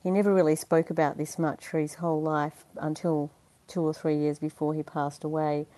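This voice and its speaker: Low, mellow-voiced woman. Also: flat delivery, normal speed, rough recording. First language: Dutch. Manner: chatty and earnest, laughs easily, many fillers. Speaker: interview guest, performer. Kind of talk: conversational interview.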